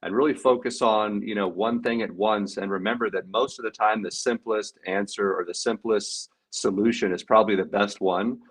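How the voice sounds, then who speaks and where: A man around forty speaks on a video call as a panel guest, his voice sitting on the low side. He reflects as a coach would, unhurried and full of casual fillers. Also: fairly even intonation, husky. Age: 40s.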